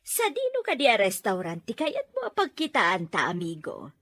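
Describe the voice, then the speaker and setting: A woman's voice: mid-range voice, wildly swooping pitch, normal speed, fairly bright tone, no echo, exaggerated voice. A woman acting a sociable middle-aged mother keen on matchmaking, theatrical and emphatic, in an audio drama. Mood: friendly.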